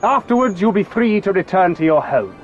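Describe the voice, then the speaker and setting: A condescending imperial officer from a video game. His high, very animated voice offers formal, theatrical reassurance with cold menace underneath. Music plays behind the slightly muffled recording. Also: a little fast. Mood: smooth menace. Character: smug male imperial officer, coldly menacing, falsely reassuring. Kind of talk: video game cutscene acting.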